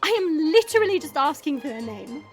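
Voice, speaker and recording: A woman's voice: high voice, very animated, fairly quick, faint music behind. A woman playing a smirking, sarcastic monster woman who chats and mocks in an audio drama. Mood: sarcastic, amused. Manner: sarcastic, mocking, chatty. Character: smirking, teasing monster woman, short and muscular.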